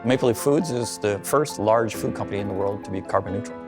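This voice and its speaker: A man giving a documentary interview, explaining food waste consulting in a brisk, persuasive way. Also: fairly low pitch, over music.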